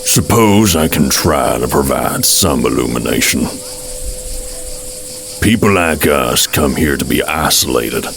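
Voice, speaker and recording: A man playing a gruff, sardonic amphibious alien in an audio drama, with a folksy, unhurried drawl and a gravelly voice. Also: extremely low pitch, lively intonation, a bit bright, a little hiss or hum, heavily acted. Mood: wry, explaining.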